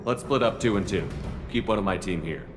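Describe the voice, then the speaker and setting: A man playing a steady, decisive military squad commander in a video game, speaking plainly and directly. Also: low voice, fairly quick, over music, lightly acted.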